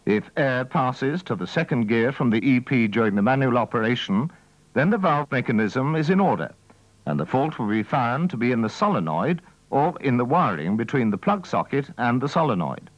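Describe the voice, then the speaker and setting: A man's voice: mid-range voice, sing-song, moderate pace, no room sound. A man reading scripted instructional narration in a methodical, formal way, as the narrator of a training film. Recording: dull, dry old training-film soundtrack.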